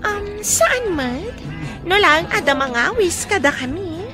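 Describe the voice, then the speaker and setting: Flirty scheming waitress, high swoopy voice, ilocano radio drama, music under it. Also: female voice, sing-song, takes it slowly, heavily acted. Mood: flirty, teasing. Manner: playful, teasing.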